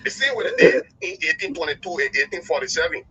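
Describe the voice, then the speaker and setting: A man's voice: fairly high pitch, very animated, quick pace, a crowd in the background. A man with a Liberian accent phoning a talk show, giving emphatic, impassioned political commentary and repeating phrases for effect. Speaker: phone-in caller. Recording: dull lo-fi call audio.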